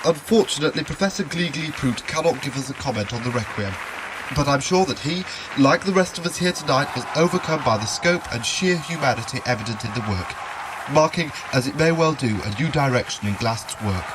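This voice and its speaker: A man's voice: mid-range voice, animated, brisk, a crowd in the background, noisy background, lightly acted. A man playing an earnest, wordy, slightly pompous live concert commentator in an audio drama. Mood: reverent. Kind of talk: hushed-to-excited live broadcast commentary.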